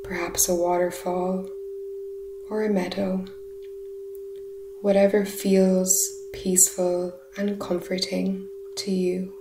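Slow, hushed woman guiding a meditation. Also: lowish voice. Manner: soft, soothing, breathy delivery in short unhurried phrases. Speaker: meditation guide. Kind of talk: guided meditation narration. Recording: roomy echo, steady humming background noise. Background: humming sound bath tones.

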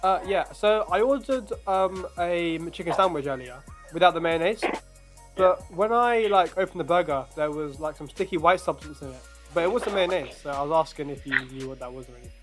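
Young male prank-call host, casual delivery, voice a little high, music playing clearly underneath. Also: expressive, a little fast. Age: young adult. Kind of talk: casual chat and prank calls. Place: small bedroom, indoors.

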